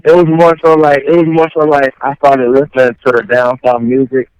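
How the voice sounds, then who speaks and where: A man's voice: medium pitch, level tone, fairly quick, highs cut off. A man from Chicago with a slight Southern accent, chatting casually as an interviewed rapper and often checking in with "you know" phrases. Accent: Chicago with slight Southern tinge. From Chicago, later Mississippi. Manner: conversational, frequent "you know what I'm saying".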